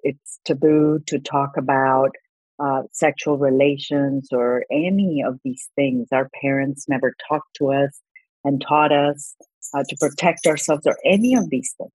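A woman's voice: deep voice, darkish tone, husky, no room sound, rough recording. A middle-aged woman from Colombia, a congressional candidate, speaking earnestly in a political interview and often restarting her words.

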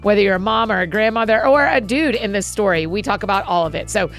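A woman's voice: mid-range voice, fast, creaky, fairly bright tone, faint music behind. A woman hosting a podcast, bubbly and chatty as she introduces and talks with a guest. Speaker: podcast host. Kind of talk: friendly podcast interview.

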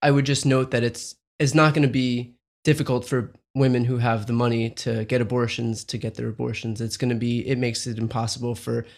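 A young adult man hosts a political podcast interview. His voice sits at an ordinary middle pitch. He sets up his questions in a measured, conversational way. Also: flat delivery, gravelly. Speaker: podcast host.

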